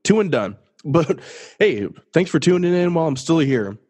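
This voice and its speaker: A man's voice: a little high, big pitch swings, moderate pace, a little mellow, husky. A young man with a stuffy, sniffly nose hosting a solo sports podcast, talking casually and joking at his own expense. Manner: casual, jokey, restarts and self-deprecating asides. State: congested, sniffly. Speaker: podcast host.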